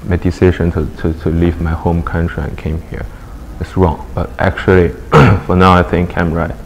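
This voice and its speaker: Very deep-voiced young immigrant man. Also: level tone, creaky, a little hiss or hum. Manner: halting, repeating words. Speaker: immigrant student interviewee. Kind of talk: candid interview answers.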